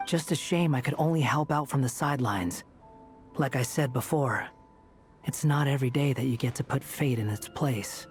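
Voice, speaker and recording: A laid-back young wanderer acted by a man, medium in pitch. He talks casually and wryly in a video game style, with faint music behind him. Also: normal speed, lightly acted. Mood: wry, wistful. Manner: casual, wry. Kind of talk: video game dialogue acting.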